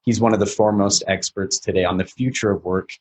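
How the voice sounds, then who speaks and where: A man's voice: lowish voice, fairly even intonation, quick pace, a little hiss or hum. A man hosting a podcast interview, upbeat and chatty, with fillers and restarts. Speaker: podcast host.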